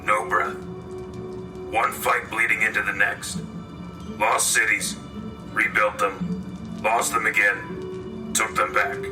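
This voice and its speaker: A man's voice: fairly low pitch, level tone, slow, long pauses, far from the mic, music in the background, poor audio. A man playing a weary, resolute war correspondent giving a terse, solemn scripted radio dispatch in clipped phrases. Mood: grim.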